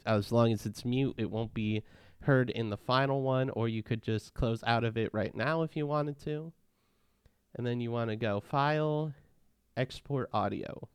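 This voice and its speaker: A man walking viewers through audio editing steps in a relaxed, casual tutorial. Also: mid-range voice, smooth, dry recording, studio quality. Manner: relaxed, step-by-step instructing. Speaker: tutorial host. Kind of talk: casual software walkthrough.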